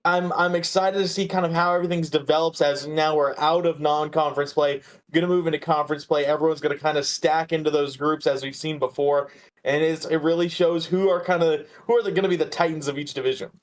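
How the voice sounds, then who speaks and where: A man with a somewhat high-pitched voice talks as a sports podcast host. His analysis is casual and conversational. He often repeats a phrase while working out his next thought. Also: steady tone, fast.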